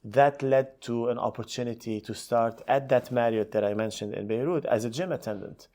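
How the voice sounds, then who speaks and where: A man's voice: medium pitch, moderate pace, warm tone. A middle-aged man, telling his career story conversationally as an interview guest. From Lebanon. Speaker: podcast guest, hotel executive.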